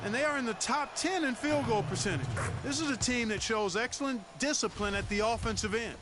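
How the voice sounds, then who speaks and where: A folksy high-voiced color analyst. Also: male voice, brisk, background noise. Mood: analyzing. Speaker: color commentator. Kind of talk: video game basketball commentary. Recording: game audio with music behind.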